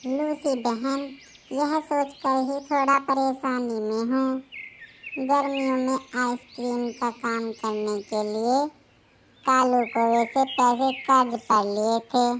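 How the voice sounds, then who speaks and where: A woman plays a widowed cartoon bird, humble and earnest, with a high voice. She speaks very slowly and politely, over faint birdsong. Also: steady tone, fairly bright tone, slight background noise. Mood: troubled. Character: widowed female bird ice-cream seller, humble and earnest. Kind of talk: Hindi children's cartoon voice acting.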